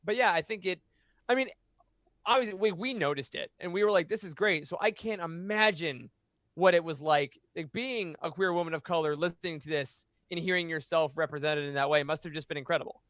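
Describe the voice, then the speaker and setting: A man's voice: a little high, a little fast, a bit bright, no room sound, studio quality. An American man in his thirties chatting enthusiastically as a podcast panelist in a casual discussion. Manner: enthusiastic, gushing. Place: home room. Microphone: close boom-arm podcast mic.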